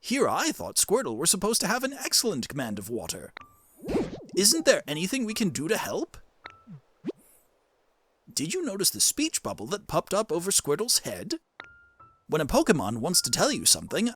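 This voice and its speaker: A man's voice: high-pitched, wildly swooping pitch, moderate pace, fairly bright tone, breathy edge, exaggerated voice. A man voicing an elderly, scholarly plant-creature professor in a game, curious and kindly, with a quaint, theatrical, musing way of talking. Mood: surprised, urging. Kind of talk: cartoon game character dub. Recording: music behind the voice.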